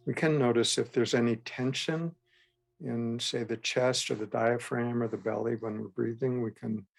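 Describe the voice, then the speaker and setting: A man guiding a meditation as a teacher, with gentle, unhurried instruction and frequent pauses. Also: medium pitch, gravelly, breathy edge. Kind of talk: guided meditation.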